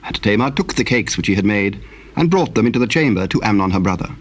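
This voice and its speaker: A man narrating a dramatized Bible, telling the story solemnly in measured, archaic English. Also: lowish voice, lively intonation.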